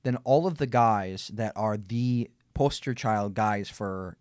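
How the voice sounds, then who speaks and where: A man's voice: fairly low pitch, slow, a little mellow, clean tone, dry recording, clean studio sound. A man hosting a debate podcast, laying out his argument emphatically step by step with frequent restarts. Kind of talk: debate conversation.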